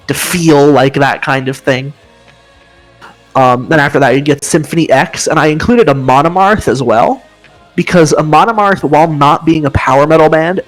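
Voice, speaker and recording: A man with a high voice co-hosting a casual podcast chat, explaining with enthusiasm and humming riff sounds. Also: poor audio. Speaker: podcast co-host.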